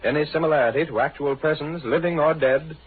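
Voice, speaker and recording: An actor as a solemn announcer, reading formally in 1930s radio style. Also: male voice, fairly high pitch, fast, slightly rough, phone-quality sound. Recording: thin old radio recording. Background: music.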